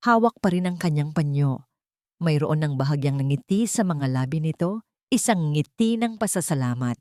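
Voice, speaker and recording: A woman reading dramatic scripted story narration in a measured way, with short weighted phrases. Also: low voice, lively intonation, a little mellow, dry recording, studio quality.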